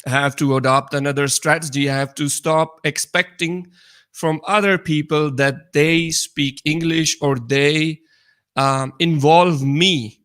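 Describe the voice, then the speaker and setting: A man co-hosting a podcast, recounting his experiences casually in a halting way with repeated restarts. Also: a little high, fairly even intonation, fairly bright tone. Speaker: podcast co-host. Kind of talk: casual personal anecdote in conversation.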